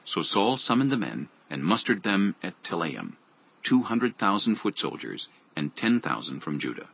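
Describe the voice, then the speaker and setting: Very deep, gravelly male narrator. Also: big pitch swings, normal speed, dull audio. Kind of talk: scripted dramatized Bible narration.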